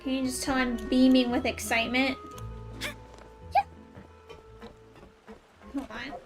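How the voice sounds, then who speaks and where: A woman's voice: high-pitched, level tone, slow, music in the background. A woman game streamer chatting casually and playfully with viewers as she plays, teasing them. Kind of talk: casual live-stream chat over gameplay.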